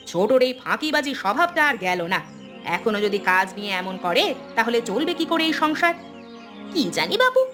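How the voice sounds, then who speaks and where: A woman's voice: sits high, a bit bright. A woman voicing a bickering sister-in-law in a village family cartoon, scolding and complaining. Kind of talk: cartoon family drama dialogue.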